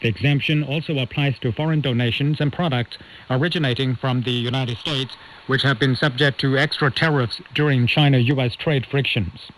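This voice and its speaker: A man reading a scripted news bulletin as anchor, formal and measured, heard over shortwave radio. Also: medium pitch, a bit bright. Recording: noisy shortwave radio reception.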